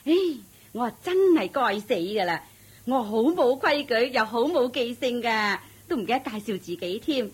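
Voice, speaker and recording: A woman's voice: fairly high pitch, lively intonation, normal speed, slightly rough. An actress playing a chatty, eager-to-please female servant in a radio drama, speaking in a flustered, apologetic way. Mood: fussy, apologetic. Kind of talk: 1980s-style radio drama acting.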